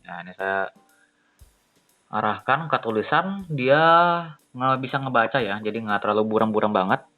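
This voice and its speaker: A man's voice: on the low side, expressive, moderate pace, long pauses, phone-quality sound, soft background music. A young man, casually reviewing a cheap phone on camera, thinking aloud and talking to viewers as guys.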